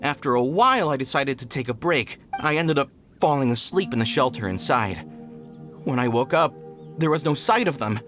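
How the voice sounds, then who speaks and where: An actor playing a shaken, fearful mine worker in a video game, hesitant and stammering. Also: male voice, medium pitch, lively intonation, moderate pace, a bit bright, close to an everyday voice. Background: game music.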